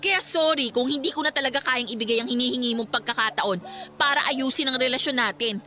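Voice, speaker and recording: Radio drama role: young student, high forceful voice, firm and weary of her ex, faint music bed. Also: quick pace. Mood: firm, exasperated. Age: young adult. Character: young female college student, fed up with controlling ex. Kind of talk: Tagalog radio drama acting.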